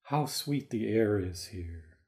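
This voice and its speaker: A man playing a weary, kingly sun god and gentle father in an audio drama, speaking slowly, tired and solemn. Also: lowish voice, animated. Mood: weary, wistful. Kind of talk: dramatic reading like a radio play.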